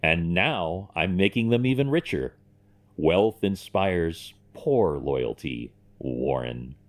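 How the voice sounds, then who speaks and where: A man's voice: low-pitched, lively intonation. An actor playing a confident, commanding billionaire businessman with cool, wry, pointed delivery in an audio drama. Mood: wry, smug. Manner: deliberate, declarative, pointed.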